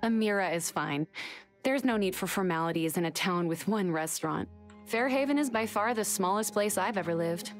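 An actress plays a small-town woman doctor in a video game. She is friendly and reassuring at a middling pitch, with soft music underneath. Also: normal speed, close to an everyday voice.

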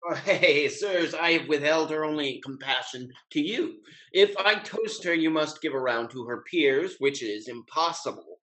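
A young man plays a merry, hard-drinking rake in period stage comedy. He has a fairly high voice and declaims in grand, flourishing phrases. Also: moderate pace, fairly bright tone, close to an everyday voice. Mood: gallant. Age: young adult. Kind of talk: theatrical classical stage comedy acting. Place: home room, video call.

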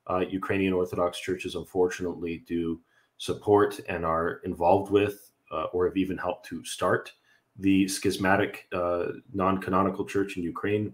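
Deep-voiced young Canadian priest on a livestream. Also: male voice. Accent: Canadian English. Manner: earnest, explanatory, with frequent fillers and light laughs. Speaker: Orthodox priest hosting a livestream. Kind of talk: livestream conversation answering viewer questions. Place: home room with bookshelves. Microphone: video call webcam.

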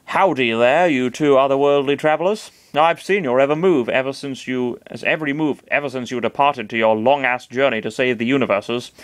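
A man's voice: medium pitch, a little fast, hardly any pauses, no room sound, natural voice. A man voicing a smug, condescending giant cosmic hand with a sarcastic, grumbling manner.

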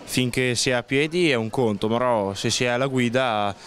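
A young man answering a street interviewer in a relaxed, hesitant way, outdoors under a cafe awning into a handheld mic. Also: medium pitch, moderate pace. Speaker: passerby interviewed. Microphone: handheld microphone.

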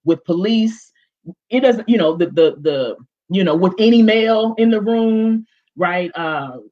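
A woman panelist with a big, expressive voice, speaking emphatically and directly on a video call, often restarting with fillers. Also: mid-range voice, unhurried. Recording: dull lo-fi video call audio.

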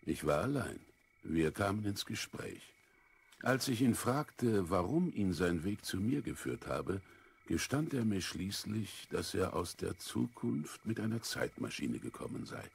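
An older male actor playing a wise, calm old Greek philosopher in a children's audio drama, measured and drily amused. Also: sits low, exaggerated voice. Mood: reminiscing, amused. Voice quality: husky, creaky. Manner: measured, deliberate, self-assured.

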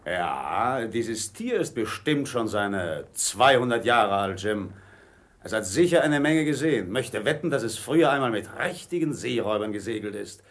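A man's voice: fairly low pitch, animated, normal speed, fairly bright tone. A man playing a wily, commanding old pirate ship's cook in a radio play. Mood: jovial storytelling.

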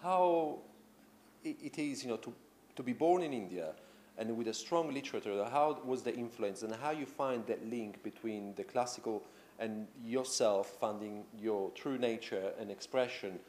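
A man's voice: mid-range voice, moderate pace, a little mellow, a little hiss or hum. A man in his thirties interviewing a guest, asking long, winding questions full of "you know". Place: indoor room with tapestries and a sofa.

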